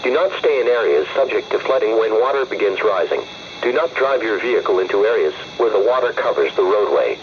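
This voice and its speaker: A man's voice: on the low side, sing-song, a little fast. A synthetic man's voice reading a scripted weather alert over the radio in a formal, impersonal bulletin manner. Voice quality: synthetic text-to-speech voice. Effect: weather radio broadcast. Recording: dull lo-fi radio with faint noise.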